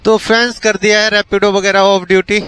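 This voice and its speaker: A man's voice: high voice, a little fast. North Indian man vlogging over traffic noise. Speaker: vlogger and bike taxi rider. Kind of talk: casual chatty daily vlog. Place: outdoors on city roads and worksite. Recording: steady outdoor traffic and vehicle noise.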